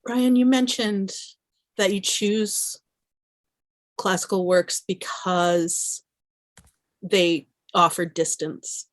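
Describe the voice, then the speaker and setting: A woman's voice: medium pitch, steady tone, very slow, long pauses. A woman moderating a conversation, putting questions to her guests as an interviewer.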